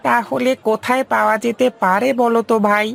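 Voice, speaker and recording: A woman's voice: on the high side, fairly even intonation, a little fast, creaky, a little hiss or hum. A woman voicing an obliging, easily flustered male servant in a cartoon, eager and folksy. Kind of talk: cartoon voice acting.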